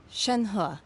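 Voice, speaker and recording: A woman's voice: medium pitch, noisy background. A woman playing an aloof, blunt young woman from the mountains, with a formal, stoic manner, in an anime video game. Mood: matter-of-fact.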